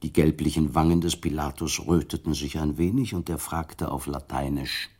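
A man's voice: rumbling low, steady tone, moderate pace, a little mellow, husky. A man narrating a literary radio drama from a script, telling the story in formal prose.

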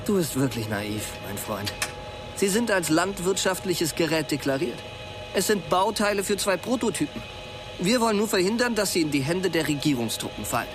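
A conspiratorial, fervent patriot in a radio drama. His voice sits a bit high and insistent, with clear music underneath. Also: animated, moderate pace. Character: fervent male patriot aircrew member, conspiratorial, unbending. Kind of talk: naturalistic German radio drama acting. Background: loud music under the dialogue.

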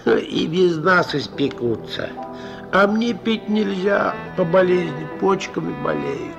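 A worn-out old fence from a mid-century radio play, wheedling and folksy. His voice sits fairly high and swoops in pitch as he coaxes, meek yet sly. Music plays behind the old recording. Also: wildly swooping pitch, slow pace, creaky, lo-fi sound, heavily acted. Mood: sly, admonishing. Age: older. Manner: folksy, wheedling thieves' talk with diminutives.

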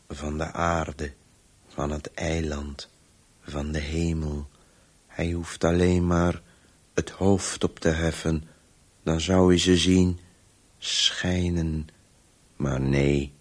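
An actor playing a weary, disembodied Voice in a radio play, obsessively telling a story in halting, clipped, sometimes breathy fragments. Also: male voice, rumbling low, little pitch movement, exaggerated voice. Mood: bleak, halting.

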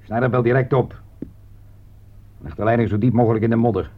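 An actor playing a gruff, bossy army sergeant in a Dutch radio war drama. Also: male voice, medium pitch, steady tone, brisk, natural voice. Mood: gruff, commanding. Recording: dull, band-limited old radio recording.

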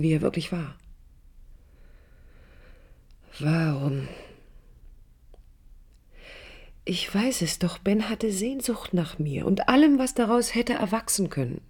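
A woman's voice: on the low side, darkish tone, slightly rough. An actress playing a passionate, searching young woman in a radio play, reflective and intimate, sometimes close to a whisper. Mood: wistful longing. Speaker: radio drama actress, narrator and lead. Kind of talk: 1980s German radio play acting, intimate monologue. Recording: music under a studio radio recording.

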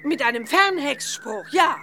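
Capable witch mother, high bright voice, assured delivery, children's audio drama. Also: animated, measured pace, soft background music, heavily acted.

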